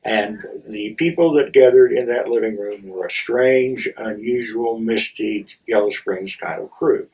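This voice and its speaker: A middle-aged or older man telling a personal story in a theatrical, wry way, with dramatic pauses and halting asides. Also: fairly low pitch, a little mellow, highs cut off. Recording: distant microphone.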